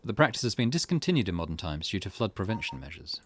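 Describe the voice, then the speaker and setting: A man's voice: lowish voice, sing-song, fast, background noise. A man doing let's play narration, reading game text aloud and muttering his own reactions between passages. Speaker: gaming video host.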